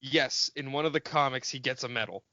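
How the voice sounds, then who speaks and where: A man's voice: medium pitch, fairly quick, dry recording, dull audio. A man co-hosting a fan podcast, bantering casually and picking at details with some swearing. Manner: nitpicky, sweary, animated. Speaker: podcast co-host.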